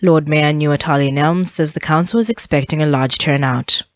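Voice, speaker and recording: A woman's voice: low-pitched, moderate pace, dry recording, lo-fi sound. A woman reading a scripted radio news bulletin as the newsreader. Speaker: news reader. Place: radio studio.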